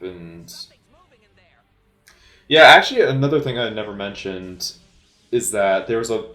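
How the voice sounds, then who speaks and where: A man giving casual, unscripted movie commentary as an amateur, speaking hesitantly with halting, trailing pauses. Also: low-pitched, pushed and bright, roomy sound.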